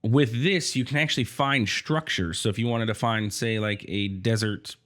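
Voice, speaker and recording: A man's voice: fairly low pitch, moderate pace, a bit bright. A man with a head cold, sounding congested, casually commenting on his gameplay as the host of a video series. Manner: chatty, rambling, friendly asides. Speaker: let's play host.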